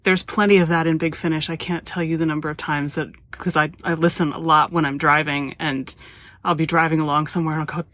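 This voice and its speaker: A woman's voice: low-pitched, flat delivery, fast talker, fairly bright tone. An American woman hosting a podcast interview in a casual, conversational style.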